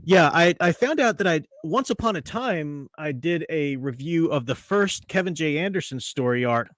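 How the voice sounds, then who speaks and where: A man's voice: fairly high pitch, lively intonation, normal speed, a bit bright, dry recording. A man hosting a casual podcast chat, opinionated and chatty, laughing often. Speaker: podcast host.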